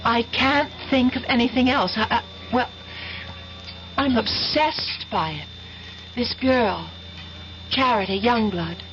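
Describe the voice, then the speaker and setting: Haunted young female student, high voice, earnest, hesitant pauses, vintage radio drama, muffled recording. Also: fairly bright tone, a little hiss or hum. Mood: anxious, obsessed. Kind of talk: 1970s radio drama acting.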